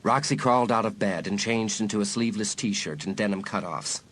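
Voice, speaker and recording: A man narrating a suspenseful dramatised audiobook in a measured way with dramatic pauses. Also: on the low side. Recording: loud music behind.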